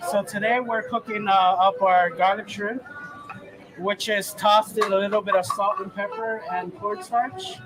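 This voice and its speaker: Chatty middle-aged chef with high voice. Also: male voice, a little slow, over music, low-quality recording. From Samoa, raised in Hawaii. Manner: relaxed, chatty, laughs easily. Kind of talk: casual live cooking demo. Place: outdoor boat show.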